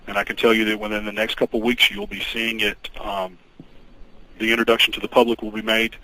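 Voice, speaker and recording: A man speaking as a company executive, answering questions on an investor conference call in a measured, formal, guarded way. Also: lowish voice, completely flat delivery, pushed and bright. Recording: noisy conference call recording.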